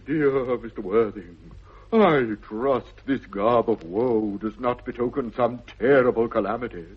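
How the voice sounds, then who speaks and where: An actor playing a pompous but gentle middle-aged English country clergyman in a 1960s radio comedy, hesitant and ornate in his speech. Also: mid-range voice, a little slow, husky. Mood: solemn sympathy. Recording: dull lo-fi vintage radio recording.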